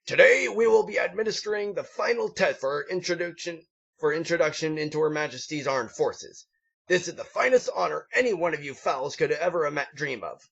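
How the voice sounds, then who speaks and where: A man voicing a gruff, patriotic pony drill instructor who barks military speeches in a harsh voice. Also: on the high side, expressive, brisk, pitched above the performer's own voice, exaggerated voice. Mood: stern, rousing. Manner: loud, declamatory military speechifying. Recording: dull lo-fi home recording.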